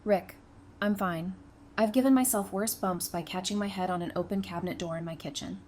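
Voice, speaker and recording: An actress playing a brave, loyal, plain-spoken survivor in an audio drama. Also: female voice, medium pitch, steady tone, fairly quick, a little mellow, close to an everyday voice. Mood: wry, unfazed. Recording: clear background noise.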